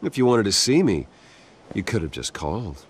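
A man's voice: very animated, moderate pace, a little hiss or hum. An actor playing a brooding, stoic American vigilante in his thirties, terse and serious in a dramatic game. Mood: dry. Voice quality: deep, low. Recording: loud music behind the dialogue.

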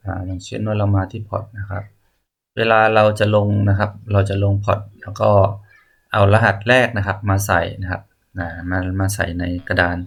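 Low-voiced man giving a halting tutorial. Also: gravelly. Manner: halting, polite, step-by-step instruction. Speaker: tutorial presenter. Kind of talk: spoken software walkthrough, unscripted.

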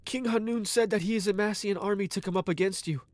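A man's voice: high-pitched, quick pace, darkish tone, pitched above the performer's own voice, close to an everyday voice. A man voicing shamed, frightened servants pleading with their king. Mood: anxious. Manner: pleading, tearful.

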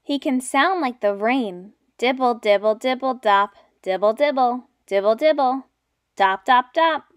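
Slow-paced young woman reading to children. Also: a little high, clear, resonant tone. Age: young adult. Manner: simple, deliberate phrasing addressed to young children. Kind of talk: children's read-aloud lesson.

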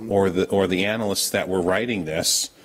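A man's voice: deep voice, fairly even intonation, normal speed, slightly rough, poor audio. A man speaking as a town official at a public board meeting, explaining his position firmly with frequent restarts, picked up by a room camera across the meeting room. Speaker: town official presenting an ordinance.

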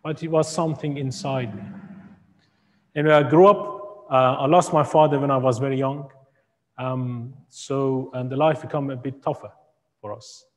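A man in his thirties from Iran, speaking English with an Iranian accent, giving a halting personal testimony as a guest speaker in a church hall. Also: mid-range voice, fairly even intonation. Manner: halting, with many ums and broken grammar. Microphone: far camera. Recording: echoey hall from far camera.

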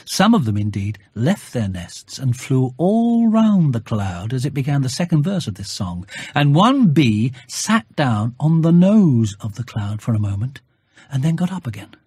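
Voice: medium pitch, big pitch swings, measured pace, a little mellow, husky, no room sound, clean studio sound. A narrator reading a children's storybook in a scripted storytelling style.

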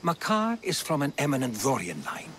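An actor playing a learned, earnest Greek historian who explains things diplomatically in a video game. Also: male voice, medium pitch, wildly swooping pitch, moderate pace, a bit bright, a little hiss or hum. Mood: explanatory.

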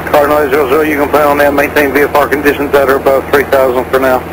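Quick male air traffic controller on radio. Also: medium pitch, flat delivery. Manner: clipped, businesslike delivery. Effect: aviation radio.

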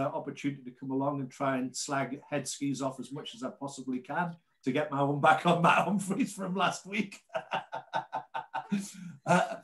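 Geordie man giving an informal online talk. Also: a little high. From North East England. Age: late 40s. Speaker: ski brand representative and guest presenter. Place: indoors on a video call. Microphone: video call.

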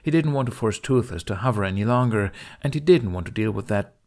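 A man narrates a fiction audiobook in a fairly low voice. He reads the scripted prose expressively, as a storyteller. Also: expressive, fast, a little mellow.

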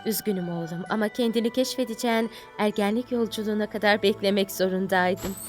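A woman voicing a motherly unicorn mare in a dubbed cartoon, calm and matter-of-fact. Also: medium pitch, fast, over music, close to an everyday voice.

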